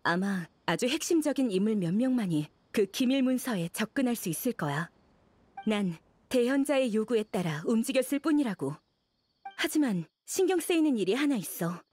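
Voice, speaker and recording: A woman playing a guarded, pragmatic female scholar-assistant in game dialogue, deliberate and matter-of-fact. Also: on the high side, sing-song, a little mellow, slightly rough. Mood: guarded, explaining.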